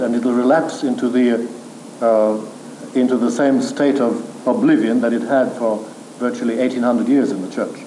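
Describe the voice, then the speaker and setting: A man lecturing on theology to a room, measured and firm, answering questions from the floor. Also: medium pitch, warm tone. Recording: echoey room recording with faint hiss.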